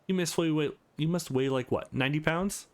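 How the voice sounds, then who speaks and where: A man's voice: a little high, a little fast, pitched above the performer's own voice, lightly acted. A man voicing a caring, earnest young male student hero in a visual novel, with a reassuring manner.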